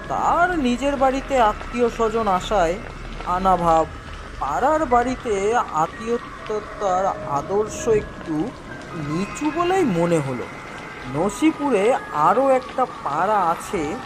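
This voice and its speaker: An audiobook storyteller narrates a horror tale at an unhurried pace, with very lively intonation. The delivery is dramatic with a wry edge, and music plays clearly underneath. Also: medium pitch. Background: background music.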